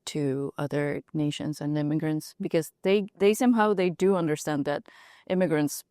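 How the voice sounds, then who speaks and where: Low-voiced woman panelist, from Ukraine, dry close sound, easygoing. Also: fairly even intonation, moderate pace, mellow, dry recording. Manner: casual, laughs easily. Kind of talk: roundtable discussion.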